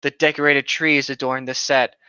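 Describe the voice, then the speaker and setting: Male co-host, bright forceful tone, casual commentary, slightly lo-fi mic. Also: medium pitch, little pitch movement, normal speed, smooth, dry recording. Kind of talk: casual commentary chat, reading a story aloud.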